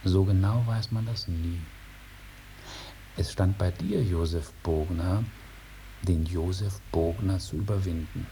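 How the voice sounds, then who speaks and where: Slow, creaky old dragon. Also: male voice, low voice, warm tone. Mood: detached, regretful. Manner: slow, drawn-out, repetitive, unhurried delivery. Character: aloof old male dragon, calmly refusing a farmer. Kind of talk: 1950s German radio drama acting. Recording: noisy 1950s radio recording.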